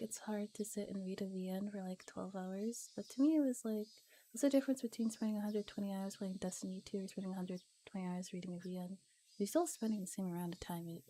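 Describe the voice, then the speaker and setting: A woman with a mellow, dark-toned voice chats casually on a relaxed stream. She speaks softly and slips now and then toward a whisper, with a giggly manner. Faint background noise sits behind her. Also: medium pitch. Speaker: streamer reading visual novels aloud. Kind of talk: relaxed casual livestream chat, ASMR.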